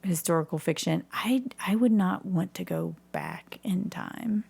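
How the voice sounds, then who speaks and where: A woman's voice: fairly low pitch, a little slow, creaky, a little mellow, slightly rough. A woman chatting casually as a guest in a podcast interview, candid and self-deprecating about herself. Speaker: podcast guest, community theatre actress.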